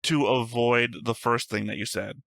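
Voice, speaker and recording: A man's voice: medium pitch, steady tone, unhurried, creaky, a bit bright, no echo, clean studio sound. A man co-hosting a reaction show, casually teasing and mocking his friend while they argue. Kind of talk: casual banter reacting to anime.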